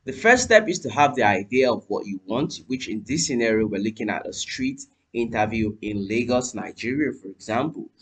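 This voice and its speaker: A young man hosting a screen-recorded software tutorial at his home computer, talking viewers through each step and spelling out what he types. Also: medium pitch, normal speed, hardly any pauses, husky. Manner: lively, addresses viewers as "you guys", spells out letters while typing. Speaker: tutorial host. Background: keyboard typing.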